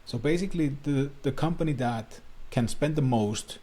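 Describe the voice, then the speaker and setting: Husky-voiced Finnish man explaining marketing. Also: medium pitch, moderate pace, dark tone. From Finland. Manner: earnest, plain non-native phrasing with small restarts. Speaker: online marketing coach. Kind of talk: talking-head explainer to camera.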